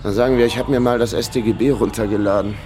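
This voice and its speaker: Actor as wry, low-voiced down-on-his-luck sleuth. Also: steady tone, fast, darkish tone, faint music behind, close to an everyday voice. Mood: dry, wry. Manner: dry, sardonic. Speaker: narrator and lead character. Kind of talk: radio crime drama, first-person narration and dialogue.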